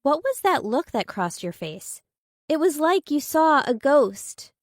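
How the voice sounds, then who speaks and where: An excitable, pushy female friend in a thriller audiobook, acted out by a woman narrator. She is nosy and dramatic, exclaiming and questioning eagerly. Her pitch jumps up high, and the sound is dry. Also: a little slow, no room sound, exaggerated voice. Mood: amazed, prying. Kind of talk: audiobook character dialogue.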